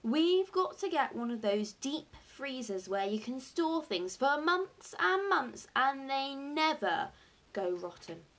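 A woman voicing a jubilant, boastful father in a storybook, excited and grandly emphatic as key words are drawn out. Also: high voice, takes it slowly, pitched above the performer's own voice, full character voice. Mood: eager. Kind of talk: acted audiobook dialogue.

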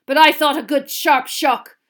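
Storybook role, female reader: fierce old male professor, gravelly, growling, menacing, blunt delivery. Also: high voice, fairly even intonation, measured pace, a bit bright, pitched above the performer's own voice. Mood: gruff, unconcerned.

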